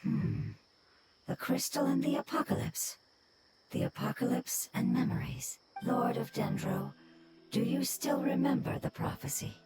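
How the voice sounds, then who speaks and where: Solemn, cryptic spirit, fairly high. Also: female voice, unhurried, over loud music, rough recording. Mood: cryptic, musing. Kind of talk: scripted game dialogue.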